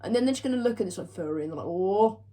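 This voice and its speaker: A woman's voice: medium pitch, animated, racing, warm tone. A woman joking around while baking in a home kitchen, often trailing off into mumbles. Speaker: co-host baking for a video. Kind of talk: casual chat.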